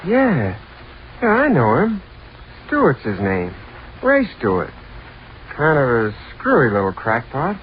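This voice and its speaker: Tinny, animated old-radio bystander. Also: male voice, on the high side. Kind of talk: old-time radio acting. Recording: tinny, slightly noisy old radio recording.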